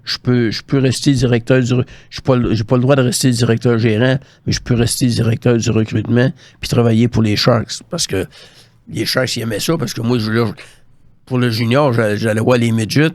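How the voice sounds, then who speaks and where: A man's voice: medium pitch, steady tone, brisk, darkish tone, no echo. An older man with a Quebec French accent telling career anecdotes casually as an interview guest, colloquially re-enacting conversations with quoted dialogue. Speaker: guest, former hockey scout.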